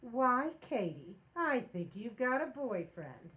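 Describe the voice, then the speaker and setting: A woman's voice: a little high, big pitch swings, takes it slowly, lo-fi sound. A woman playing a playful, meddling married housewife in 1940s radio comedy style, with an emphatic theatrical delivery. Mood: teasing.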